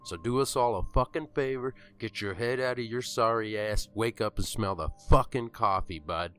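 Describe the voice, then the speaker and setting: A man's voice: on the low side, normal speed, fairly bright tone, clear, resonant tone, natural voice. A man playing an angry, bitter survivor who scolds aggressively with sarcasm in a horror audio drama. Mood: bitter, furious. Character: angry, bitter, foul-mouthed man hardened by apocalypse.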